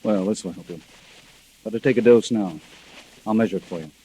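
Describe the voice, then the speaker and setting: A man's voice: fairly low pitch, a little fast, dark tone, slightly rough, low-quality recording. A man playing a controlling, impatient husband in a 1940s radio drama, brusque and insistent. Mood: smooth, evasive. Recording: vintage 1940s radio recording.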